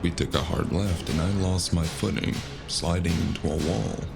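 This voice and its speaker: A man with a deep, heavily creaky voice tells a scripted story in the first person. His delivery is gritty and dramatic. Loud music plays under the narration. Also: fairly even intonation, moderate pace. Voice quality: gravelly. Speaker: narrator of a cyberpunk audio drama.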